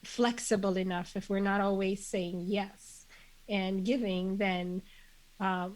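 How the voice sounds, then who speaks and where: A woman guest with a congested voice, speaking earnestly and in measured phrases, with many fillers. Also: mid-range voice, steady tone, a little mellow, rough recording. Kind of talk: reflective conversational interview.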